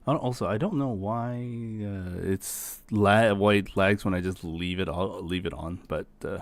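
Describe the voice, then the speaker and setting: A man's voice: sits low, moderate pace, gravelly, no echo. A man hosting casual reaction commentary, explaining game characters in an easygoing way. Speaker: host presenting game characters.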